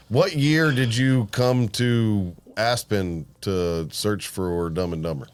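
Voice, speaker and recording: A man hosting a podcast interview, easygoing and quick with enthusiastic reactions. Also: fairly low pitch, clean tone. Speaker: podcast host. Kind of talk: casual interview.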